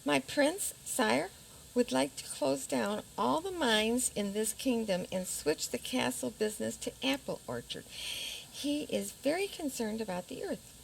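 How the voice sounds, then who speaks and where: A woman's voice: on the high side, lively intonation, a little slow, gravelly, a little hiss or hum, full character voice, poor audio. A woman playing an indignant, sarcastic fairy-tale princess pleading her case with pointed delivery in a radio theater comedy. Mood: insistent, formal.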